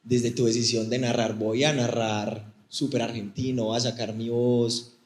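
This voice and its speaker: A man's voice: mid-range voice, level tone, normal speed. Paisa man asking a question in a roomy hall. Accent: Colombian, Medellín (paisa). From Colombia. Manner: rambling, apologetic asides. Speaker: audience member asking a question. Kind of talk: question from the audience. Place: auditorium at a live event. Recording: roomy auditorium sound.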